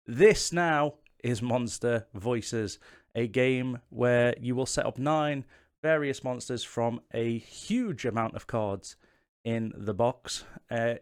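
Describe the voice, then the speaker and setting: A man's voice: mid-range voice, clear, resonant tone. A man hosting a tutorial, explaining game rules to the viewer in a casual, chatty, self-deprecating way. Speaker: host of a board game tutorial channel. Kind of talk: casual how-to-play explanation to camera.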